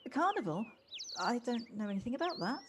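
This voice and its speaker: A woman's voice: a little high, sing-song, brisk. A woman acting a kindly, hesitant city visitor in an audio play, politely stumbling over her first words. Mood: friendly. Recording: amateur home recording with background noise.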